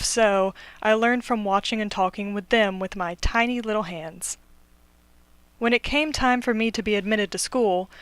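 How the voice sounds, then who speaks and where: A woman student interpreter voicing a signer's personal story in steady first-person narration. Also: medium pitch, clean tone, dry recording.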